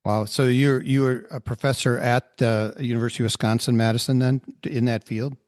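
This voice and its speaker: A man's voice: mid-range voice, fairly even intonation, brisk, a little mellow, no echo, studio quality. A man hosting a podcast in an easygoing way, talking with frequent fillers. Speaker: podcast host.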